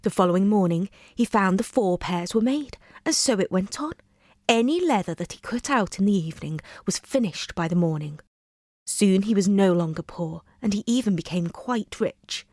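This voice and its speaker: A woman narrating a bedtime story in a measured, expressive storybook style. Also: mid-range voice, no echo, studio quality. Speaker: storyteller, reader.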